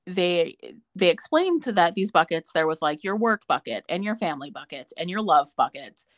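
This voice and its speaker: A woman's voice: fairly low pitch, dry recording, clean studio sound. A woman talking casually with a friend, telling a story in a chatty way with lots of fillers. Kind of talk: casual chat.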